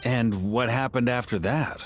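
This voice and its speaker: A man playing an easygoing, wry, amiable young adventurer in a video game, with a relaxed, teasing manner. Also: mid-range voice, unhurried, slight background noise, lightly acted. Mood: earnest. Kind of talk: English video game voice acting. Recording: background music under the voice.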